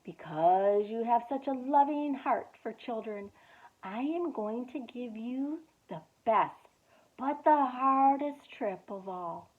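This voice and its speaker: Woman reading old grandfather bunny, wise and kind, gentle solemn storybook voice, tinny phone-like sound. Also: a little high, expressive, takes it slowly.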